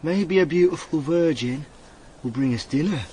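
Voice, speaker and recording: An actor playing a naive, nervous young Roman army recruit in a radio drama, whiny and deferential, with a stammering delivery. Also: male voice, on the high side, normal speed, darkish tone, a little hiss or hum, lightly acted, low-quality recording. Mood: hopeful.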